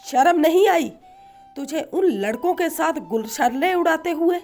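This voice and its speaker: A woman's voice: very high-pitched, animated, unhurried, darkish tone, soft background music, pitched above the performer's own voice, heavily acted. A woman voicing an angry, reproachful mother scolding her grown daughter in a narrated story.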